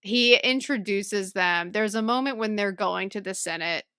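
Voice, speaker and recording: A woman's voice: medium pitch, moderate pace, bright, forceful tone, smooth, no echo, clean studio sound. A woman co-hosting a podcast, talking informally and thinking aloud in a book club chat. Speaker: podcast co-host.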